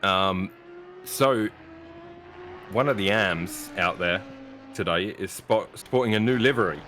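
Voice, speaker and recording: A man commentating a live sim race with relaxed, self-deprecating banter. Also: sits low, slow pace, slight background noise.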